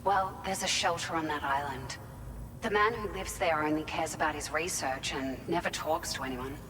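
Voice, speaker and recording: An actress playing a caring, chatty facility worker in a video game, speaking in a grateful, concerned, conversational way. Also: female voice, sits low, moderate pace, lightly acted. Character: warm-hearted, concerned facility worker receiving cargo. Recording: long reverberant hall, clear background noise.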